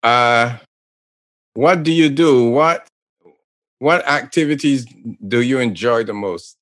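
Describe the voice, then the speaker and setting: A man's voice: medium pitch, takes it slowly, a bit bright. A man from Barbados with a Bajan accent, chatting casually as an interview host. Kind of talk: casual chat.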